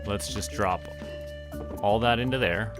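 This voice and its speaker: A man's voice: fairly low pitch, a little slow, music in the background. A man chatting casually to himself as he plays a game on stream. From Canada. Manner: talks to himself while playing. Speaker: streamer playing a farming game.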